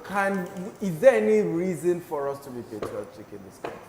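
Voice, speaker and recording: A man's voice: on the high side, very animated, fairly quick, a little mellow. A man hosting a TV talk show, teasing his guests and laughing often as he asks questions. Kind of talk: studio panel discussion. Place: TV studio with a live audience. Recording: roomy studio with audience noise.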